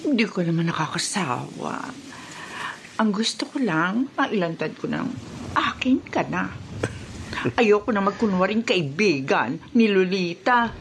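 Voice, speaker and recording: A woman's voice: mid-range voice, animated, a little slow, a little hiss or hum. A woman playing an impatient, coaxing mistress in a Filipino radio drama, with a Filipino accent. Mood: wheedling, impatient. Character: impatient mistress scheming to take her friend's husband.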